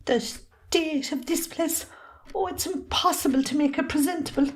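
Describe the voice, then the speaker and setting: A woman's voice: high voice, moderate pace, natural voice. A woman with an Irish accent playing a long-suffering, fiery middle-aged wife and mother in an amateur drama. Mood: fretful, weary. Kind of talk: amateur stage drama acting.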